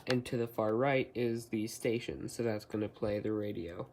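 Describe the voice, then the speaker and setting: A man giving a casual, somewhat halting hands-on product demonstration, explaining step by step. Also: on the low side, steady tone, a little mellow, a little hiss or hum. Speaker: hobbyist product reviewer.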